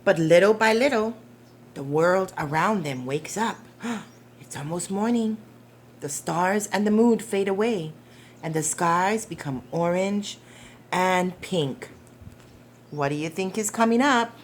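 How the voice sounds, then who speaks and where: A woman with a slightly low voice reads a picture book to small children. She goes very slowly and gently, with expressive phrasing, as a preschool storytime reader. There is noticeable noise behind her. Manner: gentle, deliberate, asking the children questions.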